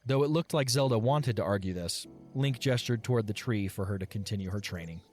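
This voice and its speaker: A man delivers scripted story narration for an audio drama. His voice sits somewhat low, and music plays softly behind his reading. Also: fairly quick. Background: background music.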